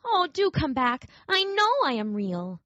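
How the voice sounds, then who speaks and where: Woman doing a little toy rabbit, timid and earnest, high pleading voice, dull dry sound. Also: huge pitch swings, moderate pace, fairly bright tone, dry recording, heavily acted. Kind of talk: storybook reading.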